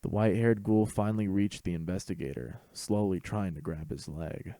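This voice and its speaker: A man's voice: low-pitched, normal speed, mellow, no room sound. A man reading a scripted fan fiction story as host and narrator.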